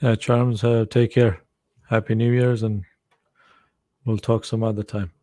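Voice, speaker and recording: Relaxed co-host, a man with a warm, mellow voice and dry close sound. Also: fairly low pitch, measured pace, dry recording. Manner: relaxed, agreeing, reads chat aloud. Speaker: livestream co-host. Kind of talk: casual livestream chat.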